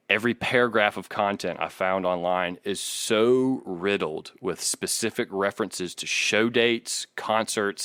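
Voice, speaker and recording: A man with a fairly low voice, recorded close and dry. He hosts a casual podcast, laying out background in a chatty, explanatory way with a wry edge. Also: fairly bright tone, no room sound. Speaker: podcast host.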